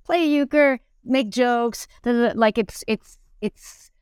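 A woman's voice: fairly high pitch, takes it slowly, a little mellow, smooth, no echo, clean studio sound. A middle-aged woman, an actor, chatting casually as an interview guest and thinking aloud as she goes.

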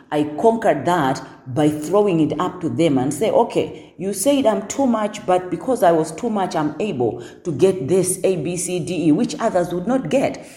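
A woman with a notably deep voice speaks with firm, assertive confidence, often doubling words as she drives a point home. Her tone is dark and mellow, sitting low for a woman. The recording carries a noticeable room echo. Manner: assertive, repeats words when emphasizing. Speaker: guest. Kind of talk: casual podcast conversation.

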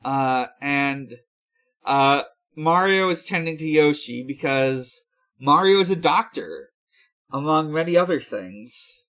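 A man co-hosting a casual recap discussion, explaining things in a halting, hesitant way. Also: fairly high pitch, lively intonation, fairly bright tone. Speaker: podcast co-host recapping episodes. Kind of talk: casual episode recap with co-hosts.

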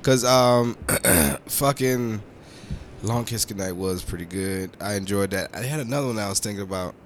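A man's voice: on the low side, normal speed, slight background noise. A man co-hosting a casual podcast, posing questions and rambling as he searches for words, with some swearing. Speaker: podcast co-host.

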